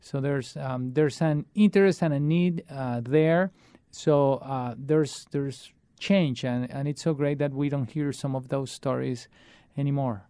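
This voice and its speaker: A man's voice: medium pitch, dark tone, clean tone, dry recording. A man, a children's musician being interviewed, talking earnestly and often restarting his sentences. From Colombia. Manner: earnest, halting with many restarts. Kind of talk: casual interview talk.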